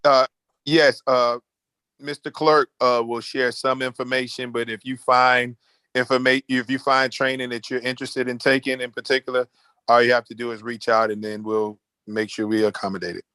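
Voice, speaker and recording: A man chairing a formal board meeting in a halting, procedural way, often restarting his sentences. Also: medium pitch, flat delivery, sharp tone, clean tone, dry recording. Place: virtual meeting call.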